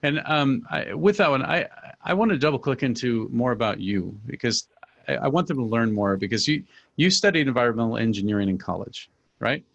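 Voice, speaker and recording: A man's voice: mid-range voice, animated, a little fast, vocal fry, fairly bright tone, dull audio. A man hosting an interview, upbeat and affirming as he asks questions. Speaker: host. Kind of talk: fireside chat interview.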